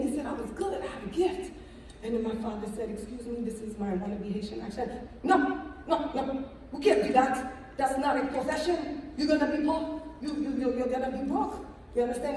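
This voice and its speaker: A middle-aged woman telling her story on stage as a motivational speaker, animated, tagging her lines with "right?". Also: high voice, a little hiss or hum. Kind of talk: storytelling talk on stage with slides. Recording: echoey hall from far off.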